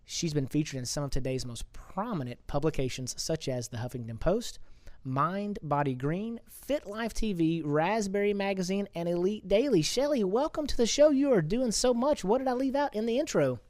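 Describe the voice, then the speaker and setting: A man's voice: high-pitched, expressive, moderate pace, darkish tone, no echo. A man hosting a conversational interview show. He rambles a little, often repeating words and restarting his sentences. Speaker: radio show host.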